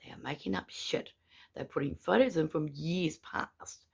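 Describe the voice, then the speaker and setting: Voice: mid-range voice, normal speed, creaky, slightly rough. A New Zealand protester delivering an angry, indignant rant, speaking gummily without dentures in and hammering points home with repetition. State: gummy speech, no dentures in. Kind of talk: angry ranting monologue.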